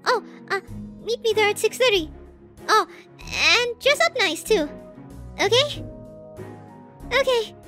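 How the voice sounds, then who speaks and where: A flustered teenage anime girl with a tiny, extremely high voice. She stammers shyly about her crush, taking her time with hesitant, excitable delivery. The tone is bright and girlish. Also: slow, pauses often, soft background music, exaggerated voice. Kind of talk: anime roleplay audio drama.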